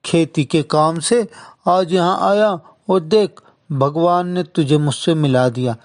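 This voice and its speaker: A man acts as a village farmer father in a children's moral tale. His voice sits a little high. He speaks with tender, earnest affection and some weariness. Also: slow pace, a little mellow. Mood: relieved, tender. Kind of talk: children's moral story dramatization.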